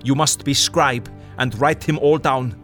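A man playing a fervent old professor, slow-spoken. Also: medium pitch, steady tone, a bit bright, soft background music, natural voice. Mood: earnest. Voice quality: old-fashioned, stilted foreign-sounding phrasing. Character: older male professor, fervent, formal, devout. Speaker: vampire hunter professor. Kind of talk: audio drama acting.

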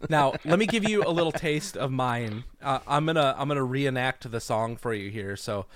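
A man's voice: medium pitch, animated, moderate pace. A man co-hosting a podcast in casual chat, teasing and joking with his guests. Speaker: podcast co-host.

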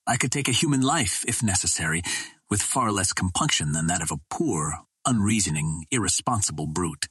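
A man reading a literary adventure story as an audiobook narrator, in a measured, formal storytelling delivery. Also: lowish voice, a bit bright, breathy edge, dry recording, studio quality.

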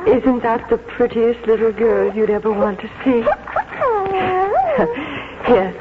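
Gentle, plain-spoken mother. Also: on the high side, steady tone, takes it slowly, heavy background noise. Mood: gentle, coaxing. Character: gentle, coaxing mother of a schoolteacher. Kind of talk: 1940s radio acting. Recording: tinny 1940s radio, loud music behind.

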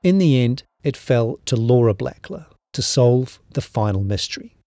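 A man reading scripted documentary narration in a measured storytelling manner. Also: medium pitch, expressive, dark tone, no room sound, studio quality. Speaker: podcast narrator. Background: background music.

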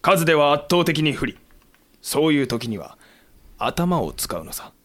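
Battle-hardened samurai leader, terse, wry, commanding, raised pitch, audio drama. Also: male voice, big pitch swings, a little slow, fairly bright tone. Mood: calm, wry. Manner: terse, commanding, gruff phrasing. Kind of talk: Japanese period audio drama acting.

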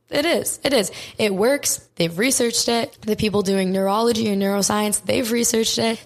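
A young woman speaks straight to her viewers in a motivational talk, her voice hoarse and raspy. She is emphatic, swears freely and repeats her points to drive them home. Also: mid-range voice. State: hoarse from crying.

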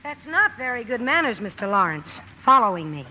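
A woman's voice: a little high, very animated, fairly quick, sharp tone, full character voice. An actress playing a headstrong, brusque tomboy young woman who wants to write, in old-time radio drama style. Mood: proud, earnest. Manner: brusque, emphatic. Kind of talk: 1940s-50s radio drama acting. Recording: noisy vintage radio broadcast recording.

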